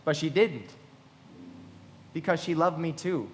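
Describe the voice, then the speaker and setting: A wistful young millionaire with a slightly high voice and polished, earnest manners. He speaks in measured radio-drama phrases, with music underneath and the reverb of a hall. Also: animated. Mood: wistful, confiding. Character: romantic, wistful, genteel young self-made millionaire. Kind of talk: live radio play acting.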